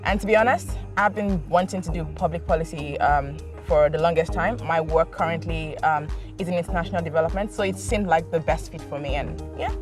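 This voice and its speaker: A woman's voice: low-pitched, a little fast, few pauses. A woman from Nigeria, a graduate student speaking candidly in a testimonial. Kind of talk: testimonial interview. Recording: music bed under voice. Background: background music.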